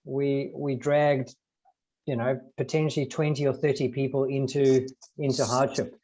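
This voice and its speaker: A man's voice: mid-range voice, level tone, normal speed, dark tone, poor audio. A South African man in his forties reflecting on a panel over a video call with earbuds, often repeating words as he thinks. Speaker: business panelist. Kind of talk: online panel discussion.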